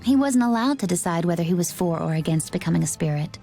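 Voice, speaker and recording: A mellow, softly dark-toned young warrior woman from a fantasy game. She is poised and measured, cool-headed in everything she says, over faint music. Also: on the low side, lively intonation. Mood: composed, serious. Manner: measured, composed. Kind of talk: English game dub voice acting.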